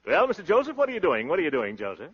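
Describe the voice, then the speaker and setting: A muffled 1930s radio recording of a breezy show-off suitor. His voice sits fairly high and swings widely in pitch, full of glib slang and pompous flourishes. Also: huge pitch swings, very fast, slightly rough, full character voice. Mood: boastful. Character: brash, boastful young suitor, a breezy windbag show-off. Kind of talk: 1930s radio comedy acting.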